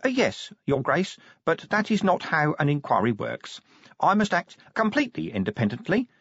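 A man acts out a small, pedantic government inspector in an audiobook. The voice sits high and is carefully formal, unflappably polite, opening with little hesitations. The recording is dry and slightly dull. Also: big pitch swings, moderate pace, gravelly, fairly bright tone, husky, no echo, full character voice. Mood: prim, polite. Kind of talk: audiobook character acting.

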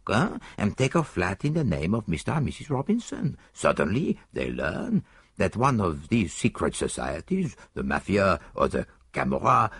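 A man's voice: lowish voice, creaky, a bit bright, slightly rough, no echo, clean studio sound, exaggerated voice. A man voicing a fussy, precise older male detective, mildly reproving and fond of rhetorical questions. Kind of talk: audiobook character voice.

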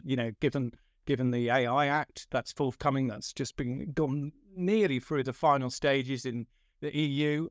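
A man's voice: medium pitch, no echo, clean studio sound. A man in his fifties hosting a podcast interview, friendly and rambling, with stammered restarts and chuckles. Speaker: podcast host.

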